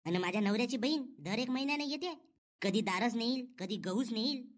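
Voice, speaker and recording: A man's voice: extremely high pitch, animated, brisk. A man with a rural Maharashtrian accent voicing an aggrieved young village wife in a comedy cartoon, grumbling in a plaintive, gossipy way. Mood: complaining.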